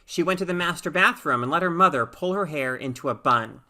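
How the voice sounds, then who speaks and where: A woman reading a short story aloud in a measured, expressive narrating style. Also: sits low. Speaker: story reader.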